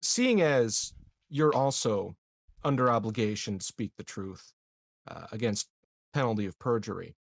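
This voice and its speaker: A man improvising formal speech in a tabletop game, in a halting way. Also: lowish voice, animated, gravelly, no room sound.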